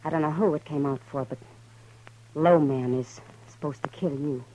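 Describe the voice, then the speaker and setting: A woman's voice: deep voice, normal speed, dark tone, slight background noise, lightly acted, poor audio. A worldly, watchful saloon woman of the Old West, played by an actress, with an American accent. She speaks plainly and confidingly, in understated old-time radio drama style. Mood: concerned. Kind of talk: 1950s radio western acting.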